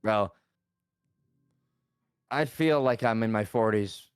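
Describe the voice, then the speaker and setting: A man's voice: fairly low pitch, slow, smooth, no room sound, studio quality. A man in his late twenties, a podcast guest, talking casually with slang and lots of fillers. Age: 20s.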